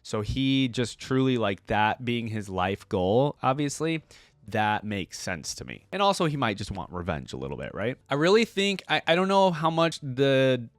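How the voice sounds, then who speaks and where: A man's voice: medium pitch, sing-song, moderate pace, no room sound. A man talking casually to his viewers as a reaction host, in rambling, conversational unscripted commentary. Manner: rambling, conversational, frequent "like" and "right?".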